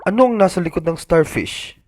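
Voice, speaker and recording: A man's voice: high voice, animated, fairly quick, darkish tone, voice pushed up. A man voicing a kindly, caring father in a children's storybook. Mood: curious.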